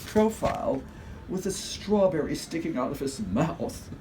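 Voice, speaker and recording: A man's voice: fairly high pitch, very animated, a little slow, background noise. A man playing a servile, evasive club employee in a comic noir radio play, formal and stammering. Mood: helpful, hesitant. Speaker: actor.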